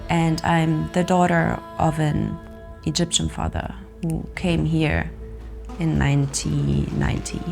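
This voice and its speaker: A woman with a very low, gravelly voice speaks slowly and reflectively, pausing with soft fillers. Music plays clearly beneath her as she talks in a documentary interview. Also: level tone. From Germany. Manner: slow, reflective, hesitant with fillers. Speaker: poet, nonprofit co-founder.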